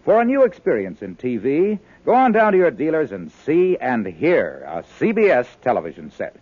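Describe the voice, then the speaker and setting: Brief: male American announcer, 1950s radio commercial, polished, emphatic, lively intonation, dry muffled recording. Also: medium pitch, normal speed, slightly rough, no room sound. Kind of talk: 1950s radio advertisement narration.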